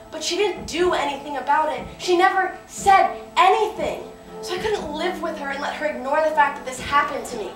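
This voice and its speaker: A woman's voice: sits high, natural voice. A woman performing a dramatic confessional monologue as a wounded, defiant daughter, speaking with emotional emphasis. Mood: hurt. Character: wounded, defiant young woman recounting betrayal by her mother. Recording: echoey room, distant mic, music behind.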